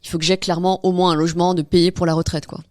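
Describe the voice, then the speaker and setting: Woman guest chatting casually on a podcast, talks really fast, dry close sound. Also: lowish voice, flat delivery, a little mellow, no room sound. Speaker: interview guest, real estate investor. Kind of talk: casual conversational interview.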